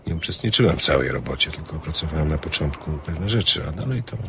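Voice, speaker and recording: An actor playing a guarded, dryly stern secret operative in a crime story, measured and patronizing. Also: male voice, a bass voice, animated, background noise. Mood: guarded, explaining. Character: guarded man from secret counterintelligence work, stern and dry. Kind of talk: dramatized crime novel.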